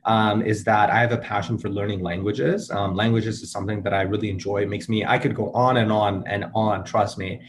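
A young man in his twenties whose first language is Urdu speaks earnestly as an interview guest. His voice sits a little low, and he pauses often with ums and restarts his sentences. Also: fairly even intonation, crowd noise. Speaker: interview guest, medical student. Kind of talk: casual interview answers.

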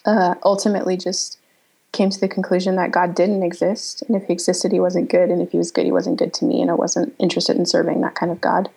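A woman in her thirties, originally from Pennsylvania, speaks as a podcast guest, pausing and circling back as she reflects. Her voice is husky with a gravelly fry, and her pitch stays quite flat. She sits at an ordinary middle pitch for a woman. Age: 30s. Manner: reflective, halting, many um fillers. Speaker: guest, writer. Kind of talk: casual interview talk.

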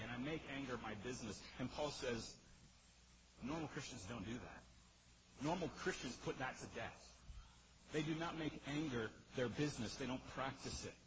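A man preaching an expository sermon in a powerful voice, emphatic yet conversational, putting questions straight to his listeners. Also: fairly high pitch. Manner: emphatic, conversational, rhetorical questions and direct address. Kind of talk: sermon, expository teaching to a congregation. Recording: muffled sound with clear background noise.

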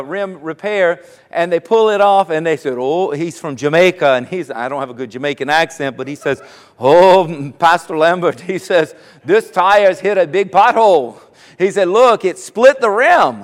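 Storytelling pastor in a reverberant hall. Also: male voice, high-pitched, clear, resonant tone. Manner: storytelling, conversational, slows for emphasis. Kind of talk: sermon with personal story.

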